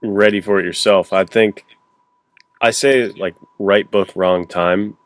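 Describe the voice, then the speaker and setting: A man's voice: lowish voice, steady tone, measured pace, dry recording. A man co-hosting a podcast in informal conversation, often acting out his thoughts as little quotes. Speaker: podcast co-host and writer. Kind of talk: casual conversational chat.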